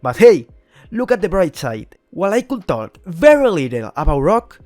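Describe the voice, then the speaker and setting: A man's voice: high voice, big pitch swings, moderate pace, a little mellow, no echo, faint music behind. A young man from Spain with a Spanish accent, giving blunt, opinionated scripted commentary on esports teams as a YouTuber. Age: young adult.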